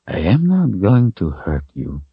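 A man acts out an elderly, kindly wizard in a fantasy storybook. The voice is low and slightly husky, soft-spoken and unhurried, with a swooping, reassuring gentleness. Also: wildly swooping pitch, no room sound, phone-quality sound, full character voice. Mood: gentle, amused. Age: older.

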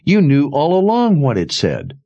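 A man's voice: a little high, wildly swooping pitch, measured pace, warm tone, muffled recording, pitched above the performer's own voice, exaggerated voice. A man voicing a frightened, accusing young woman in a fantasy audiobook, raised and strained. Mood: urgent. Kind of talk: audiobook character reading.

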